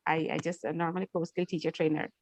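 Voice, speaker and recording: A woman who teaches and trains teachers joins an online round table. She talks haltingly and repeats words as she searches for them. Her audio is dry, with no room sound. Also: sits low, flat delivery, dry recording, poor audio.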